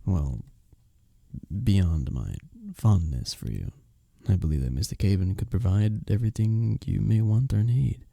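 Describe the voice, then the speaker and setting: A man playing a kind, courteous innkeeper in a medieval fantasy village, speaking softly and formally in an intimate ASMR roleplay drama. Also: deep voice, animated, measured pace, vocal fry, no echo, studio quality, full character voice. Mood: tender, shy. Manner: gentle, formal storybook phrasing, hesitant and tender.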